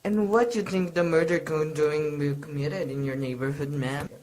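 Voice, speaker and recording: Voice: medium pitch, fairly even intonation, normal speed, a little mellow, natural voice. An actor playing a bored, dismissive police desk officer in a radio play, laconic and placating. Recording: clearly noisy recording with clicks.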